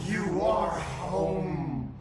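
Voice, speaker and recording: An actor voicing an otherworldly hive entity in a video game, chanting cryptic nonsense like an incantation. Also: male voice, sits high, expressive, very slow, heavy background noise, full character voice. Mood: eerie, trance-like. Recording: heavy echo, distant, loud music.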